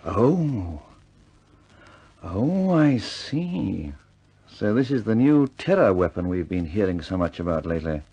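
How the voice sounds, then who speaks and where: Husky, creaky British wartime inventor. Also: male voice, on the low side, big pitch swings, takes it slowly, mellow, muffled recording, heavily acted. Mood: grave, thoughtful. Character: mild, thoughtful male British inventor-engineer in wartime. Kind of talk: 1950s British war film acting.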